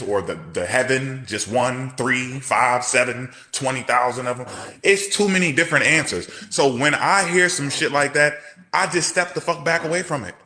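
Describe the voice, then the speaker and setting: A man's voice: medium pitch, sharp tone. A man in a casual debate chat, talking bluntly with plenty of swearing and often cutting in over the other speaker. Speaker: show host.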